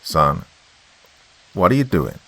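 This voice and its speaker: A man voicing a bewildered father in a horror story, trying to sound calm and reasonable. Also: deep voice, takes it slowly, creaky, heavily acted, low-quality recording. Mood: placating. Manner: placating, reasoning.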